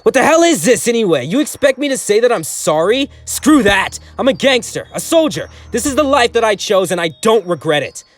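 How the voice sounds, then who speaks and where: A man's voice: high-pitched, big pitch swings, brisk, hardly any pauses, voice pushed up. A man voicing a foul-mouthed, furious male mob hitman who spits out his words in defiance. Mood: defiant rage. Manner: shouting, spitting defiance.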